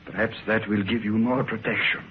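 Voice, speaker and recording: An actor playing a solemn doctor who is calm and resigned, in a 1950s radio drama. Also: male voice, fairly low pitch, fairly quick, natural voice. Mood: grave. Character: grave male doctor, expert in the occult. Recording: dull old radio recording with loud hiss.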